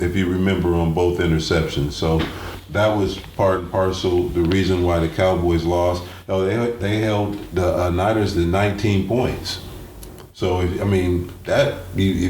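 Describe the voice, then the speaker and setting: A man hosting a sports talk show, giving game analysis in a halting, deliberate way. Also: low voice, darkish tone. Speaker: sports radio host. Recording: roomy echo, clear background noise.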